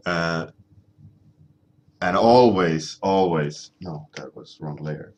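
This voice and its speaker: A man in his thirties from Sweden with a deep voice, chatting casually and rambling as he streams himself drawing, answering his chat at a desk microphone. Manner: rambling, halting, many ums and restarts, chuckling, answering chat. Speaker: artist streaming a drawing session.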